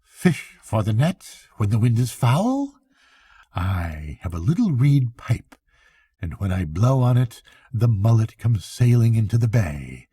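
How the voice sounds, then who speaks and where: A grandfather voicing a cunning young witch in a bedtime story, coaxing and chanting as she peddles dark spells. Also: medium pitch, sing-song, husky, no echo, studio quality. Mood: coaxing, sly. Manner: chanting, repetitive, drawn-out coaxing.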